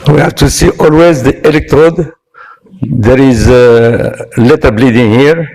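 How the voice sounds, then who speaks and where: Halting Moroccan-accented man lecturing on medicine. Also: medium pitch, creaky, a little mellow, rough recording. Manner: halting, with frequent pauses and hesitations. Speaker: physician giving a conference lecture. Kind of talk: medical presentation. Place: online conference talk.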